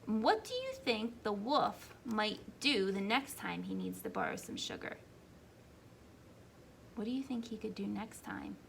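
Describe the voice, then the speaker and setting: A woman's voice: mid-range voice, very animated, measured pace, gravelly, a little hiss or hum. A woman preschool teacher explaining a story simply and prompting young children with questions during a read-aloud.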